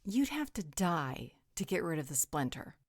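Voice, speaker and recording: A woman playing a level-headed, compassionate female peacemaker, gentle and conciliatory, in a scripted roleplay audio drama. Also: low-pitched, very animated, moderate pace, breathy edge, dry recording, clean studio sound, heavily acted. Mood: grave.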